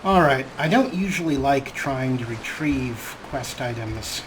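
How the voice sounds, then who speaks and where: A man hosts a let's play with casual, chatty commentary. His delivery is wry and understated, at a middling pitch. There is clear noise and game ambience behind his voice. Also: animated, unhurried, a bit bright, poor audio.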